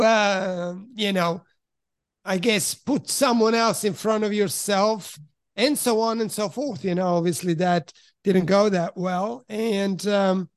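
A middle-aged man from Italy who grew up in Switzerland, with a high voice, recounting his past in a frank, reflective way. He pauses to search for the right words as he talks. The sound is dry and close, with no room echo. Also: smooth. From Italy, raised in Switzerland. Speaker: podcast guest. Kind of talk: candid personal storytelling in conversation.

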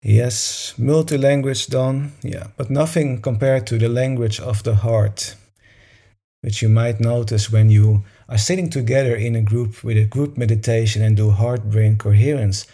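A man's voice: medium pitch, steady tone, measured pace, warm tone. A man leading a meditation session, talking informally to a group in a soothing, encouraging manner.

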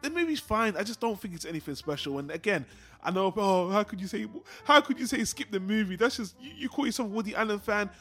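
A man's voice: sits high, lively intonation, quick pace, a bit bright. A man co-hosting a film discussion show, giving blunt opinions in a casual, sweary style.

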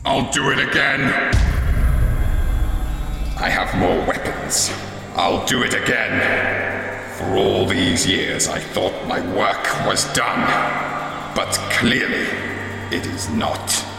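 An actor playing an older alien tyrant and father who sees himself as a saviour, grandiose and menacing, with a breathy rasp, in a sci-fi audio drama. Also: fairly low pitch, slow, pauses often, full character voice. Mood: fanatical. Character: deranged alien tyrant father, self-proclaimed purifying saviour. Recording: cavernous long reverb under music.

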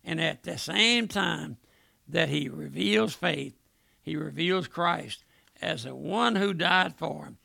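A middle-aged male preacher delivering an impassioned revival sermon, often dropping to a hush on a repeated address to his listener. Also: a little high, takes it slowly, creaky, no room sound, clean studio sound.

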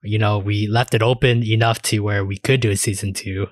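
Low-voiced man in casual chat. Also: steady tone, fairly quick, no echo, clean studio sound. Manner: colloquial, loosely phrased. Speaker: podcast co-host.

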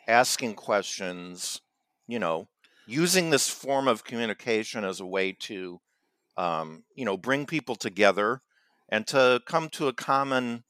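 A podcast co-host with a lowish voice rambles through a joke, teasing his partner and restarting his sentences. His delivery is loose and casual. The recording is dry and close. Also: fairly bright tone, clean tone, no echo. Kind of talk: casual comedic chat.

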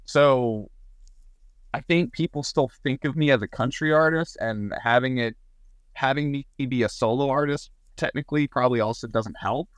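A man's voice: mid-range voice, moderate pace, clean tone, dry recording. A man from Southern Maryland, a singer-songwriter guest, talking casually with frequent restarts and fillers. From Southern Maryland, USA. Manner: chatty, many restarts and fillers. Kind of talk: casual podcast conversation.

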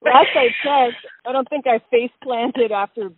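A woman's voice: fairly high pitch, measured pace, background noise. A woman chatting casually as a podcast co-host, answering with wry, dry jokes. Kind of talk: casual chat. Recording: dry phone-like sound.

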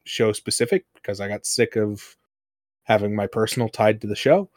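A man's voice: fairly low pitch, moderate pace, no echo, studio quality. Easygoing male podcast host. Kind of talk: casual podcast chat.

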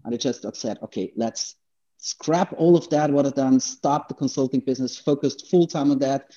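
Husky Austrian man, slightly muffled sound. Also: medium pitch, warm tone. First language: German. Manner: halting, repeats words. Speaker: interview guest, entrepreneur. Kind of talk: conversational personal storytelling.

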